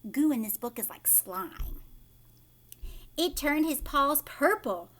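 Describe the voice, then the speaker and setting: High-pitched, animated woman reading to children. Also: slow. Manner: expressive, asks the listener questions. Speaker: teacher reading a storybook aloud to children. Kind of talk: read-aloud storytelling with asides to young listeners.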